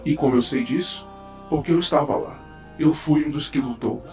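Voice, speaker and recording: Man playing veteran hero, Brazilian accent, solemn, reflective scripted narration, echoey, slightly high pitch. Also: moderate pace, dark tone, lightly acted. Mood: solemn, nostalgic. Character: solemn veteran male hero recounting past battles. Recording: echoey voice over faint music.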